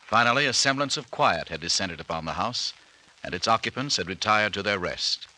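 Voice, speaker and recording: An actor playing a solemn, suspenseful narrator of an old-time radio mystery serial. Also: male voice, lowish voice, lively intonation, brisk, pushed and bright. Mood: ominous. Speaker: announcer-narrator. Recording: slightly noisy vintage radio recording.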